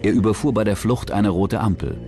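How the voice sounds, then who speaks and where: A man reading scripted true-crime documentary narration in a measured, factual way. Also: on the low side, music in the background.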